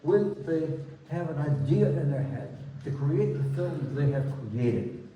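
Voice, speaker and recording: A man's voice: on the high side, lively intonation, a little slow, lo-fi sound. A man hosting a live film festival Q&A on stage, talking in loose, rambling sentences. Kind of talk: emceeing a live Q&A. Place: large hall with stage. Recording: echoey hall, distant mic, faint hum.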